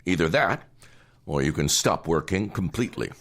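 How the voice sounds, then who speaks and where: A man playing an older, stern and condescending theater financier with a gravelly voice and formal manner in an audio drama. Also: low voice, lively intonation, normal speed, a bit bright, full character voice. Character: stern, condescending male theater financier issuing cold ultimatums.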